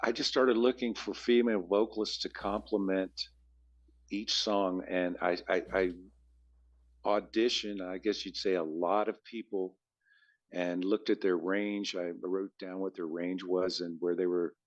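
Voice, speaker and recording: A man with a very low bass voice, answering interview questions as a musician guest, rambling with frequent restarts. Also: fairly even intonation, a little slow, dull audio.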